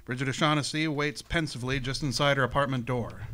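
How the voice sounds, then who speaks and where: A man's voice: medium pitch, steady tone, quick pace, background noise. A man giving dramatic scripted narration for a noir radio play.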